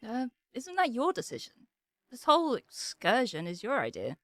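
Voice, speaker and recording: A woman's voice: fairly high pitch, huge pitch swings, moderate pace, a bit bright. A woman playing a practical, protective knight bodyguard in an audio drama, casual and dryly matter-of-fact. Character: practical, wry female knight bodyguard, protective, grumbles amiably. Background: music.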